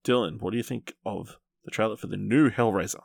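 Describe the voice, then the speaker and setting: Low-pitched, creaky-voiced man. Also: fast talker, no echo, clean studio sound. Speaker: podcast host. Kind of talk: casual film review chat.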